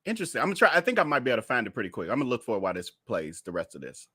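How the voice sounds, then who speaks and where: A man's voice: medium pitch, huge pitch swings, rapid-fire, pushed and bright, slightly rough, dry recording, clean studio sound. A man commentating casually on a news story while he is losing his voice. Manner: chatty, thinks aloud while searching for clips. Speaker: YouTube commentator.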